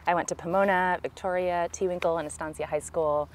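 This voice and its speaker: Low, even-toned Californian woman, some outdoor noise. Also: fairly quick. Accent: Californian American. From California, United States. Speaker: city council candidate, interviewee. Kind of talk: conversational political interview answers. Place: outdoors in a park.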